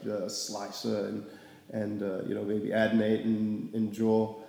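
A young man from Singapore chats loosely as an interview guest, filling his sentences with ums and asides. His voice is dark and mellow and sits a little low. The room is very echoey, with a long reverb trailing after each phrase. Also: a little slow, vocal fry. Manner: relaxed, rambling, many fillers. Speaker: artist guest. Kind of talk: casual interview.

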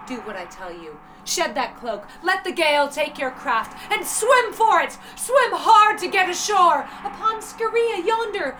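A woman acting a compassionate, commanding sea nymph goddess in a formal, soft-spoken audio drama style. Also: sits high, poor audio.

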